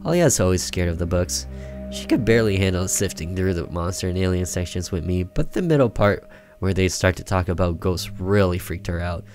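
A man's voice: sits low, a little fast, a little mellow. A young man reading a horror visual novel aloud as a let's player, with theatrical storytelling. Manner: theatrical storytelling with side comments. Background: game music with guitar.

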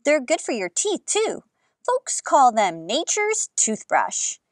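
A kindly old storybook granddad , put on by a woman reader, gently teasing and folksy. The delivery is very animated, in a close, dry sound. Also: high voice, takes it slowly, no room sound, pitched above the performer's own voice, full character voice.

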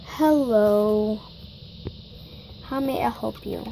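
A woman's voice: medium pitch, very slow, vocal fry, warm tone, a little hiss or hum, poor audio. A girl improvising a toy shopping scene, chatty and bossy as she runs the story. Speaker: toy video maker. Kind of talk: improvised toy play-acting.

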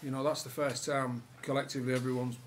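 Coach with a relaxed, halting delivery. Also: male voice, mid-range voice, slight background noise. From England. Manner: relaxed, many fillers and restarts. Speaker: rugby league head coach. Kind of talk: post-match press conference answers. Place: press room with sponsor board behind.

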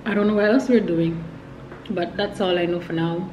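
A woman's voice: on the low side, fairly even intonation, normal speed, roomy sound, lo-fi sound. Young woman chatting casually, noisy background. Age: young adult. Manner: informal, addressing viewers as y'all. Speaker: vlogger. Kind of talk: casual vlog chat to camera.

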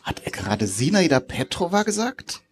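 A man's voice: on the high side, big pitch swings, moderate pace, husky, heavily acted. A man playing a polite, guarded Soviet military engineer in a live radio play. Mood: surprised.

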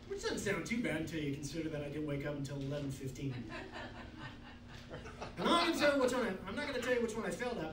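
A man tells wry, self-mocking jokes on a small club stage, mic in hand. His voice runs high for a man. The sound is echoey and distant, with a noisy room behind it. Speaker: stand-up comedian. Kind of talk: stand-up comedy set. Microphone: handheld mic, filmed from far back.

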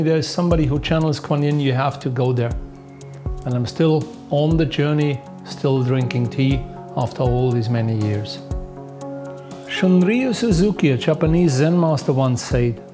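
A German-accented man in his fifties speaks in a calm, unhurried, reflective way, his voice a little higher than most men's. Music plays clearly underneath him throughout. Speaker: tea master. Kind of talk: documentary narration and on-location talk.

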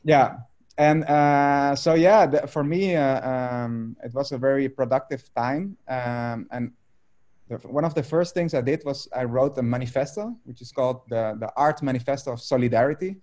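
A Dutch man in his thirties speaks English over a video call from a room at home. His voice is mellow and dark, and he talks haltingly, filling gaps with ums and restarting phrases. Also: medium pitch. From Netherlands. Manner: hesitant, many fillers and restarts. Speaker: artist guest.

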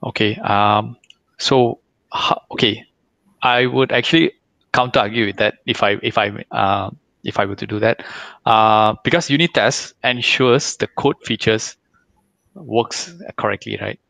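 Lowish-voiced man explaining, close dry sound. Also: unhurried, gravelly, pushed and bright, dry recording. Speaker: guest speaker answering questions. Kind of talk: explanatory question-and-answer talk. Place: indoor room, video call. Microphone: headset.

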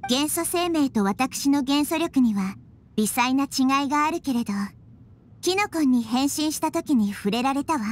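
A woman voicing a young girl goddess, calm, gentle and wise, who speaks softly and with composure. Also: high voice, normal speed, slight background noise. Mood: reassuring. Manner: soft, composed, reassuring. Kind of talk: Japanese game voice acting. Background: game music.

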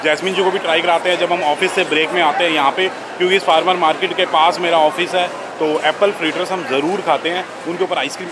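An upbeat young man co-hosts a market vlog, explaining the stalls to viewers. He talks fast, his pitch a bit raised. Clear outdoor noise hangs behind him. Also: fairly even intonation. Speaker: co-host. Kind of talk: casual vlog chat. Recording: noisy outdoor street ambience.

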